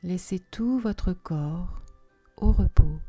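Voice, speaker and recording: Very dark, slow-voiced woman guiding relaxation. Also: lowish voice. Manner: soft, soothing, unhurried with long pauses, sometimes breathy. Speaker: yoga nidra relaxation guide. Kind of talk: guided relaxation meditation. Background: soft music.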